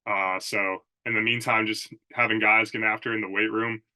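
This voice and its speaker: A man's voice: lowish voice, level tone, normal speed, pushed and bright, low-quality recording. A young man with a nasal, stuffed-up voice from allergies, hosting a casual solo podcast and rambling with lots of fillers. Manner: rambling, filler-heavy, says "like" and "dude" often. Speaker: podcast host and strength coach. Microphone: Zoom recording.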